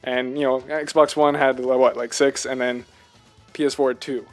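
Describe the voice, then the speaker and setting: A man's voice: medium pitch, level tone, moderate pace, soft background music. A man co-hosting a casual video game discussion, talking in an earnest, emphatic way and often asking rhetorical questions. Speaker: podcast co-host.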